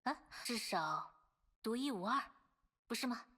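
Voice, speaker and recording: A woman's voice: a little high, animated, measured pace, pushed and bright, breathy edge, exaggerated voice. An actress playing an earnest, courteous young female warrior in an anime-style video game. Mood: calm, teasing. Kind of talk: scripted anime game voice acting.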